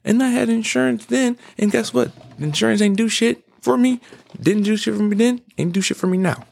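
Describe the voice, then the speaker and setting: A man's voice: high voice, brisk, gravelly, a little mellow. A man in his twenties giving an emphatic, preachy personal monologue as a podcast host. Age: 20s.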